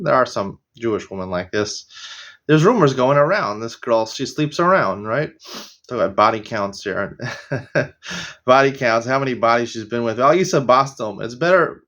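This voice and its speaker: A middle-aged American man teaching a casual daily Talmud lesson, rambling into opinionated asides and often clearing his throat. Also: medium pitch, fairly bright tone, highs cut off. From United States. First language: English.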